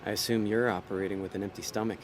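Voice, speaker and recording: A man's voice: fairly low pitch, fairly even intonation, racing, a little hiss or hum, lightly acted. An actor playing a laid-back, streetwise male con man in a noir audio drama, talking in a dry, easygoing, wisecracking way. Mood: wry.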